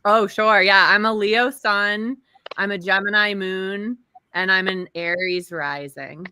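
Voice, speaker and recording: A woman's voice: medium pitch, steady tone, slow pace, pushed and bright, clear, resonant tone, no echo. A woman astrologer introducing herself briefly and friendly on a video call. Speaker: astrologer guest. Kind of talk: casual chat.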